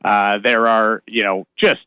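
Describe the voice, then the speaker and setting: Bright, forceful man from Canada chatting. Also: lowish voice, fairly even intonation, measured pace, clear, resonant tone, no echo. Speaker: comedian and prank caller, interview guest. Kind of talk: casual storytelling chat.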